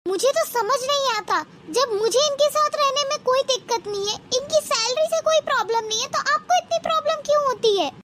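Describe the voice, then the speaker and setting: A woman playing an indignant young married daughter who speaks up reproachfully in an animated moral story. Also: very high-pitched, brisk, few pauses, noisy background. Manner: pleading, reproachful.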